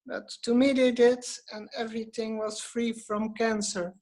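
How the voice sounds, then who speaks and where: A woman's voice: a little high, little pitch movement, moderate pace, a little mellow. A woman from the Netherlands with a Dutch accent calling in on a video call, hesitant and searching for English words. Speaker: caller.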